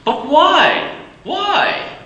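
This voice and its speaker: An actor playing a warm-hearted young nephew who speaks earnestly and pleads kindly, in a live stage radio play. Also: male voice, very high voice, lively intonation, drawn-out words, heavily acted. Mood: pleading. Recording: echoey theater stage.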